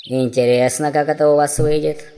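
A man's voice: a little high, flat delivery, warm tone, in a large hall, voice pushed up, close to an everyday voice. Man playing a stern dwarf warrior, noise behind. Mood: calm challenge. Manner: formal, measured, unyielding. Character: stern, dutiful, strong dwarf warrior guardian.

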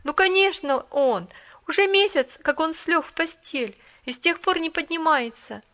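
A woman's voice: very high-pitched, normal speed, fairly bright tone, voice pushed up. A woman reading aloud and voicing a proud poor boy who talks urgently and tries hard to be polite. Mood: earnest. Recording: dull lo-fi recording.

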